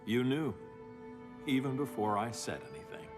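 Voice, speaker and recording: Actor as a stern, embittered superhuman man, widely swinging pitch. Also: very animated, normal speed, natural voice. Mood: subdued, regretful. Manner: stern, accusatory, dramatic delivery. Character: embittered, grieving superhuman man turned hardline tyrant. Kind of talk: cinematic video game acting. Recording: loud orchestral music behind the voice.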